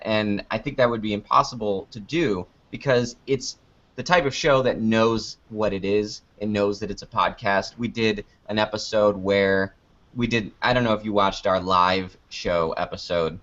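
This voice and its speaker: Male podcast guest, slightly low voice, loose conversational answers that wander and double back, dull recording. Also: moderate pace, a bit bright. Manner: rambling, self-interrupting, informal. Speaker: interview guest, podcast creator. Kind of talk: casual conversational interview answers. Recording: dull lo-fi online call audio.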